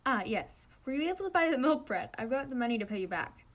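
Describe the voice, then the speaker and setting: Woman voicing a theatrical, high-pitched teenage boy. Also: sing-song. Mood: friendly. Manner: theatrical, exclaiming, drawn-out words. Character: dramatic, excitable, whiny teenage boy volleyball player.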